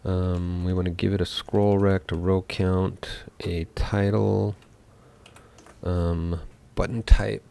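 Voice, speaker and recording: A young man from Seattle, a game developer talking casually while coding live, thinking aloud with many pauses. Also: low voice, little pitch movement, gravelly, dark tone. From Seattle, USA.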